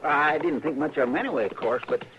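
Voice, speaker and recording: A man's voice: a little high, quick pace, lightly acted. An actor playing a loyal, fretful frontier deputy man who talks in a folksy, exclaiming, polite country way, in an old radio drama. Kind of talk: 1950s radio drama acting. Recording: old radio broadcast, dull and hissy.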